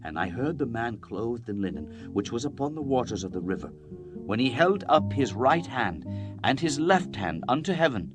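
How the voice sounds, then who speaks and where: A man's voice: medium pitch, very animated, moderate pace, over music. A man narrating scripture in a solemn, declamatory style, as in a dramatized Bible reading.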